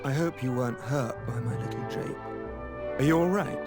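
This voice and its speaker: A man's voice: medium pitch, normal speed, lightly acted. A man playing a suave, roguish young trickster in a video game, self-assured. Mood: smug, teasing. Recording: loud music behind. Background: loud game music.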